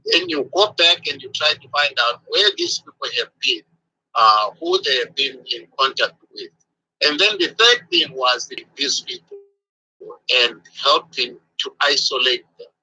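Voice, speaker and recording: A man's voice: mid-range voice, pushed and bright, husky, rough recording. A man in his sixties from Zimbabwe, a physician answering interview questions in a hesitant way with many fillers and restarts. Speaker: physician guest.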